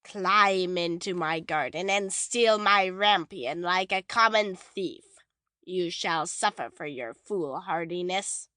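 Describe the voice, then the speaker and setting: A woman playing an imperious old witch in a fairy-tale audiobook, speaking slowly and deliberately. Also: on the low side, bright, forceful tone, dry recording. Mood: wrathful. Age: older. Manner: slow, deliberate, imperious delivery. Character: wrathful old witch, menacing and bargaining.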